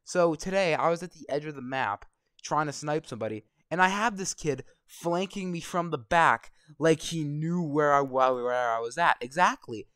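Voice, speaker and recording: A young man with a slightly hoarse voice, ranting and swearing constantly while commenting over gameplay. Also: on the high side, normal speed, a bit bright, dry recording. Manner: angry, swearing constantly, rambling. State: voice going hoarse. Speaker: gaming vlogger.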